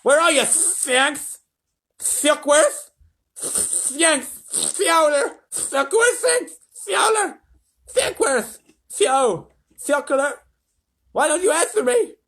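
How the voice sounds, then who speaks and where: A man voicing a blustering, panicky president yelling commands. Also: very high-pitched, animated, slow pace, voice pushed up, exaggerated voice. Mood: frantic yelling.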